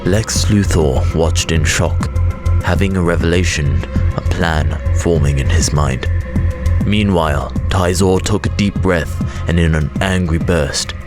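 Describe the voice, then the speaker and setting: A man reading scripted dramatic narration, telling the story in a measured, suspenseful way. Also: low-pitched. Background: loud dramatic music.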